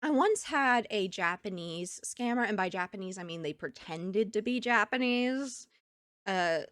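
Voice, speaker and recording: A woman streamer chatting casually with her live chat, telling stories in an animated way and laughing often. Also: mid-range voice, unhurried, dry recording, clean studio sound. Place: home streaming setup.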